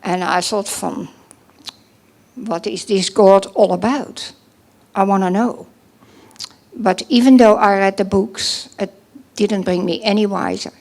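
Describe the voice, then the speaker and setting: A middle-aged woman from the Netherlands with a Dutch accent, giving a personal testimony at a church service in a halting way, with many pauses and restarts. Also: mid-range voice, steady tone, a little mellow.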